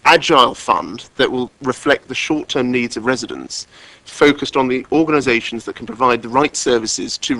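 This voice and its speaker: A man delivering a formal speech as a councillor at a council meeting, in a measured, deliberate way with emphatic repetition. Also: mid-range voice, pushed and bright, dry recording, low-quality recording. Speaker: councillor, cabinet member.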